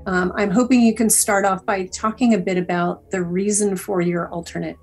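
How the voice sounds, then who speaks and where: A woman's voice: medium pitch, fairly even intonation, normal speed, a little mellow, faint music behind. A woman conducting a casual interview as a podcast producer.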